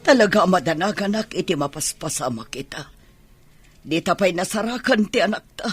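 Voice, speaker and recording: A woman playing an anxious middle-aged mother and wife with a husky voice, in an Ilocano radio drama. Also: fairly low pitch, expressive, moderate pace, soft background music, natural voice. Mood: worried.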